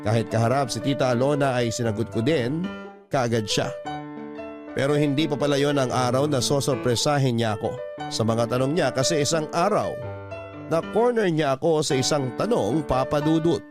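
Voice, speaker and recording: A Filipino man narrates a scripted personal letter for a radio drama. His pitch is average, and music plays clearly behind his voice throughout. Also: normal speed. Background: music under the voice.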